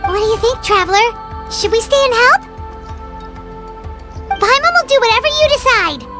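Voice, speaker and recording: A woman voicing a tiny, eager, childlike floating fairy companion in a video game, with a squeaky, chirpy delivery. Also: lively intonation, moderate pace, pushed and bright, soft background music, exaggerated voice. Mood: curious. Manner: chirpy, refers to self by name. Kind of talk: video game character acting.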